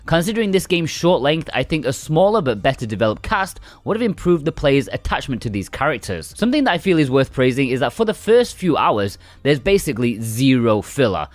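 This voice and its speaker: Man reviewing games, lively dry-room narration. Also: medium pitch, fairly quick, hardly any pauses, dry recording. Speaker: video game reviewer.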